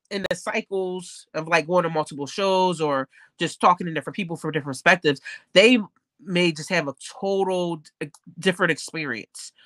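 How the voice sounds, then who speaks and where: A woman talks casually as an interview guest, candid and free with slang and swear words. Her voice is mid-pitched and a little stuffy in the nose. The sound is dry and close, with very little room. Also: fairly bright tone, no echo. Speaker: podcast guest, card collector.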